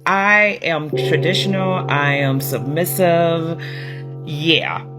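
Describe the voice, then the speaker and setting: A woman's voice: deep voice, takes it slowly. A woman telling a story in a chatty, amused way and laughing between her remarks. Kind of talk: casual storytelling. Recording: guitar music under the voice. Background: music playing.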